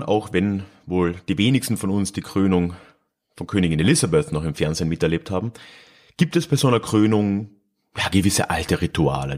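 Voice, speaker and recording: A man hosting a history podcast, talking in a chatty solo style with chuckling asides and hesitant fillers. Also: deep voice. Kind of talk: casual solo podcast narration.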